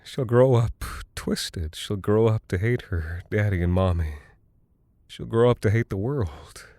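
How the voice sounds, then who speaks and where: A man acting a guilt-ridden, delusional father and space pilot in a horror audio drama, halting and stammering as he rambles. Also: on the low side, darkish tone, dry recording, studio quality. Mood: anguished regret.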